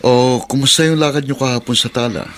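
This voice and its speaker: An actor playing a firm, practical husband in a radio drama, matter-of-fact, with a Filipino accent. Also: male voice, medium pitch, fairly quick, a little hiss or hum, natural voice. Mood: casual. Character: plain-spoken husband, returned overseas worker, firm and practical.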